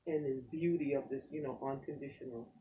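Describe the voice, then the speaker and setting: A man, a pastor and poet, talking in an animated, preacherly way in a casual faith conversation. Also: mid-range voice, a little fast, far from the mic, narrow, tinny audio, a little hiss or hum.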